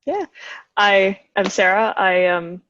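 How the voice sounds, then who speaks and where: A woman's voice: mid-range voice, slow, a bit bright, slight background noise. A nineteen-year-old woman, talking shyly with many "um"s. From Australia. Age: teens. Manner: shy, many ums. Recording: dull distant dry call audio.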